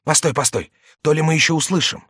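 A man's voice: a little high, fast talker, pushed and bright, slightly rough, no echo, studio quality, voice pushed up. A man voicing a sly, mocking French court jester in a theatrical, exclamatory audiobook read. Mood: gleeful. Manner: theatrical, exclamatory, mock-plaintive.

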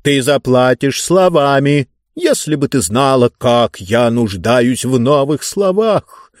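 A man's voice: medium pitch, lively intonation, dry recording, clean studio sound. A man voicing a pompous, greedy tyrant who savours words theatrically in an audiobook. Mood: greedy, commanding. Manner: theatrical, drawn-out, emphatic delivery. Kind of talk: audiobook character voice.

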